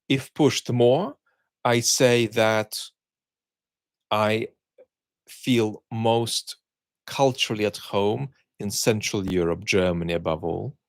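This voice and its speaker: A middle-aged man with a deep, gravelly voice talks through viewer questions in a measured, reflective way. He grew up in the Soviet Union with Russian as his first language, and he pauses often to search for words. The recording is dry and close, with no room echo. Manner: measured, thinking aloud with many ums and pauses. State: unwell, speaking while cared for. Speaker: host answering viewer questions. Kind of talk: reflective solo chat.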